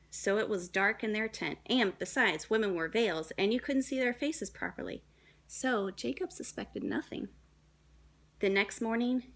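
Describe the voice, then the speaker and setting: A woman, a young adult, reading a children's story aloud in a dramatic, deliberate way with shifts between emphasis and hush. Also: medium pitch, vocal fry. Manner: dramatic, deliberate phrasing with hushed and emphatic moments.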